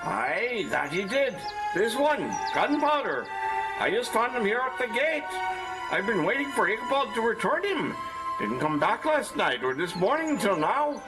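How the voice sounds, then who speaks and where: Worried fussy farmer in an audio drama, high voice that jumps around, music underneath. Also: male voice, very animated, measured pace, rough recording. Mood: worried, puzzled. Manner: worried, hesitant, exclaiming. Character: anxious, fussy Dutch-American farmer, grieving over his lost saddle. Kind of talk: theatrical audio drama acting.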